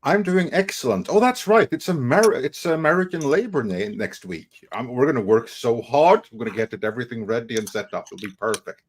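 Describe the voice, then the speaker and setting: A man with an average-pitched voice co-hosts a talk panel. His delivery is conversational and informal. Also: expressive, a little fast, hardly any pauses. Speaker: show boss and co-host. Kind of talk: casual panel chat.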